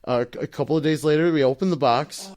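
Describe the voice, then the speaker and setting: Guy with a kinda high voice making up a comedy bit on a podcast, insistent, repeats himself. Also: quick pace, a little mellow. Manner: insistent, repetitive explaining. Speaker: podcast co-host. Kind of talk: casual improvised comedy banter.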